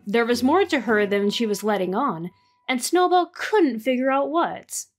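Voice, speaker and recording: A woman narrating a storybook audio drama in a gentle, even way. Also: fairly high pitch, moderate pace, faint music behind. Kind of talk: scripted narration.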